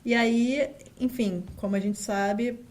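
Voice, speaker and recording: A woman's voice: on the high side, fairly even intonation, slow, darkish tone. A woman with a Carioca Brazilian accent, a guest in an office, telling her story in a chatty way with fillers and acted-out quotes. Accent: Carioca Brazilian Portuguese. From Rio de Janeiro, Brazil. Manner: chatty, many fillers and restarts, acts out quotes. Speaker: guest, data scientist. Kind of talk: casual interview storytelling.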